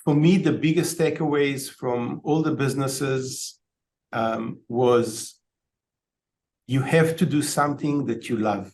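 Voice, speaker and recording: Man in his fifties, from Israel, webcam video call, rambling storyteller, medium pitch. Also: moderate pace, darkish tone, a little hiss or hum. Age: 50s. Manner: storytelling, frequent ums and restarts. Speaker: guest, IT company owner. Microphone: laptop webcam on video call.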